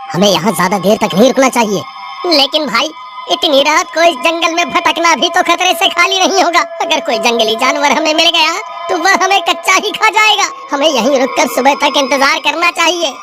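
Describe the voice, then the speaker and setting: A man voicing a cautious, sensible cartoon companion with an earnest, explanatory way of talking. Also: extremely high pitch. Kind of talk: cartoon voice acting.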